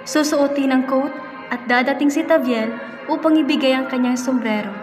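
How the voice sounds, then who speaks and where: Female narrator, high voice, scripted radio drama, long hall reverb. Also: moderate pace. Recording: echoey hall, music behind.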